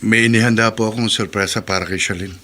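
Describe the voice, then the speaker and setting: A man's voice: lowish voice, fairly even intonation, quick pace, sharp tone, slight background noise. An actor in a radio drama playing a polite young soldier who speaks respectfully to an older woman. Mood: earnest. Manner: respectful, earnest.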